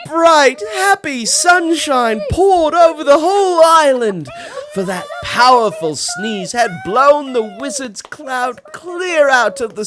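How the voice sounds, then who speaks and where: Slow, highly animated storybook reader. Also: noisy background. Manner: theatrical, dramatic emphasis. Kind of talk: animated children's read-aloud narration.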